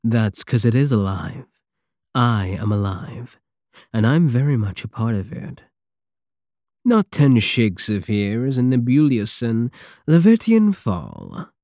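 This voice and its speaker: A man's voice: mid-range voice, big pitch swings, takes it slowly, warm tone, dry recording, clean studio sound. A man playing a swaggering, obsessive space pirate king in an intimate ASMR roleplay, flirtatious and menacing with a teasing, theatrical drawl. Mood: menacing, seductive. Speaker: audio roleplay voice actor.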